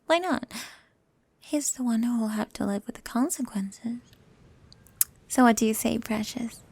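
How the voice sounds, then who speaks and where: A woman's voice: unhurried, darkish tone, heavily acted. A woman playing a brooding, possessive and commanding goth pirate captain in an intimate, murmured ASMR roleplay. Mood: protective, possessive. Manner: low-key menacing, intimate, breathy murmuring.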